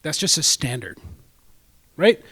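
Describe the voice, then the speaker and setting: A man's voice: a little high, big pitch swings, measured pace, fairly bright tone. A man from India, giving a casual talk to a club audience as a guest speaker and dropping in frequent "right?" asides.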